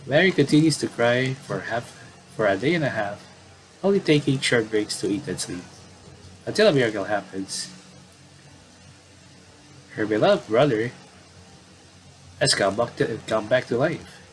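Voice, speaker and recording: A man's voice: mid-range voice, lively intonation, measured pace, pauses often, slight background noise, rough recording. A man commentating on a game playthrough, casually reading story text aloud and often stumbling and rereading phrases.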